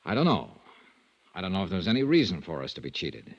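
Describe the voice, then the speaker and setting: An actor playing a dry, persistent insurance investigator, clipped and understated, in an old-time radio noir drama. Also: male voice, sits low, big pitch swings, fast talker, no room sound, lo-fi sound, full character voice. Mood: guarded, polite. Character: dry, persistent male insurance investigator narrating his case. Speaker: detective lead and narrator. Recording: vintage radio drama recording.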